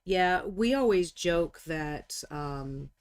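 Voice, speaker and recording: A woman in her forties chatting casually while painting miniatures on a live stream, talkative, joking about herself and quick to apologize. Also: lowish voice, expressive, darkish tone, clear, resonant tone. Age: 40s.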